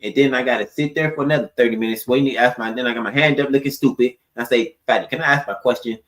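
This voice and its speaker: Podcast co-host on the defensive. Man, squeaky voice, talks fast and curses a lot. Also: a bit bright. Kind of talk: heated argument among podcast hosts.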